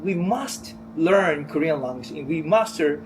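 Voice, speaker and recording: A middle-aged man, a teacher at a Korean school in Japan who grew up speaking Japanese, answers interview questions in careful, halting English full of pauses. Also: fairly high pitch, expressive, background noise. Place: school office.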